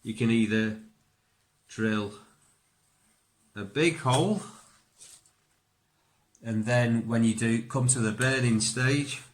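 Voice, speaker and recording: A man's voice: medium pitch, slow pace, long pauses. A man who is a native English speaker, giving a casual hands-on bushcraft tutorial and thinking aloud informally. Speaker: bushcraft instructor.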